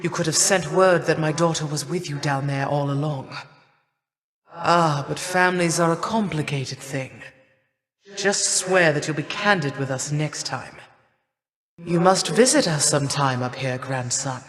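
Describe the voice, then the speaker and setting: A woman playing an aged, proud yet tender grandmother goddess of winter in a video game, speaking in formal, measured, archaic phrases. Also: low voice. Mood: grateful, gently chiding. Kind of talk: video game character voice acting. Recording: long reverberant hall echo.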